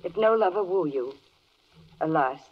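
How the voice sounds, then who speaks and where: A charming, poised spokeswoman in an old radio play. She recites verse lyrically with lively swings of pitch, in the dull sound of a 1940s broadcast. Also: moderate pace, breathy edge. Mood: wry, reciting. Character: poised, charming female spokeswoman for animal kingdom.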